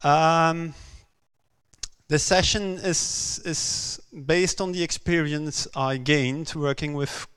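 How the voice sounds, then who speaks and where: A man from Belgium, for whom English is not his first language, giving a conference talk on a stage with many pauses, "um"s and repeated words. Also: a little high, fairly even intonation, a bit bright, smooth. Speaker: conference speaker.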